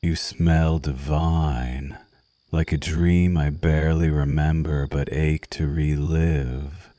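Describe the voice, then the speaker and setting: Man playing a very deep, slow seductive vampire. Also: little pitch movement, mellow, dry recording. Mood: teasing, intimate. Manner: languid, teasing, purring endearments. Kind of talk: ASMR roleplay, intimate slow murmur.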